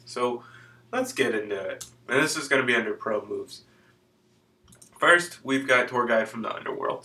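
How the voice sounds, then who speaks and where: A young man, hoarse from being sick, casually explaining game rules in a loose, rambling way. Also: medium pitch, pushed and bright, far from the mic. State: hoarse from illness. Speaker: channel host explaining card game rules.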